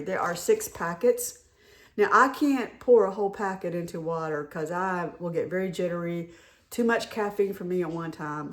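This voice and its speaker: Hoarse, chatty woman. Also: on the low side. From North Carolina. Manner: chatty, rambling, with repeated restarts. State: hoarse from a head cold. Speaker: haul vlogger. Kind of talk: casual show-and-tell chat.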